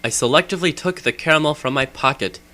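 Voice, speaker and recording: A man's voice: fairly high pitch, fast talker, pushed and bright. A man giving a theatrical, mock-serious comedic reading of fan fiction on an amateur game microphone. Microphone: Rock Band game microphone. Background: music.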